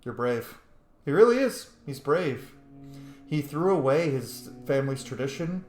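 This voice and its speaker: A man doing informal roleplay commentary on a game for his viewers. His voice is medium in pitch and blunt in delivery, and it sounds noticeably roomy. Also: lively intonation, a little slow, a little mellow, soft background music. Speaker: gaming video creator. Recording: roomy echo on the voice.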